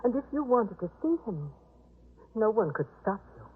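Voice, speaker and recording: An actress playing a gentle, anxious, compassionate wife in a 1950s radio drama, earnest and pleading in manner. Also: female voice, mid-range voice, sing-song, moderate pace, slightly rough. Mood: troubled. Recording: muffled phone-like 1950s radio recording.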